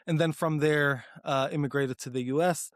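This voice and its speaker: A man in his thirties from Texas, talking eagerly and earnestly as an interview guest, full of "like" fillers and quick restarts. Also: on the high side, steady tone, no echo, clean studio sound. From Dallas, Texas, US. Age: 30s. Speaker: guest, applied research leader. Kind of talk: conversational interview.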